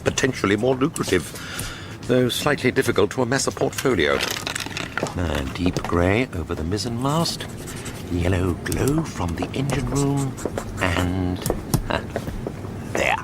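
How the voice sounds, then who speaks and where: An actor playing a wry, evasive middle-aged painter on the run, confiding in a British accent in a radio drama. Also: male voice, fairly low pitch, animated, unhurried, long pauses, very noisy. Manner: droll, self-justifying, verbose. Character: wry, evasive fugitive painter reduced to pavement art.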